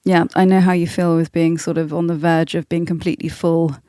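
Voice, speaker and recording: A woman's voice: lowish voice, little pitch movement, fairly quick, warm tone, no echo, studio quality. A woman hosting a podcast, asking questions in a casual, chatty interview style. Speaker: podcast host.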